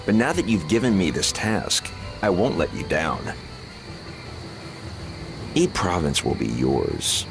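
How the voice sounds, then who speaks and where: A man playing a sharp-tongued, scornful but loyal strategist in a dramatic video game, speaking bluntly and articulately. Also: low-pitched, sing-song, slow pace, vocal fry, long pauses, over music, heavily acted. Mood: wry, confident.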